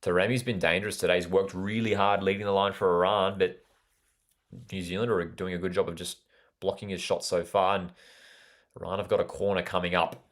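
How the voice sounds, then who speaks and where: An Australian man with a fairly low voice hosts a live football watch party. He calls the play with enthusiasm and speaks directly to viewers, often repeating a phrase for emphasis. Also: flat delivery, fairly quick. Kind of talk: live sports commentary with chat interaction.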